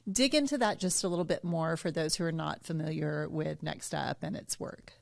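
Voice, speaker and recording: Upbeat lady hosting a podcast, bit of vocal fry, super dry close mic. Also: low voice, normal speed, dry recording. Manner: upbeat, encouraging, frequent laughs and affirmations. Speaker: podcast host. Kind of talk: casual podcast interview.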